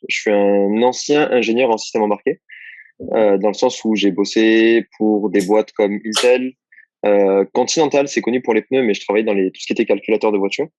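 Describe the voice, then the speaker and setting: A man's voice: lowish voice, steady tone, fairly quick, a little mellow, no echo, lo-fi sound. A young man from southern France, answering interview questions about his work as a copywriter in a loose, rambling way, full of fillers and restarts. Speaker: guest, copywriter. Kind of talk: casual interview chat.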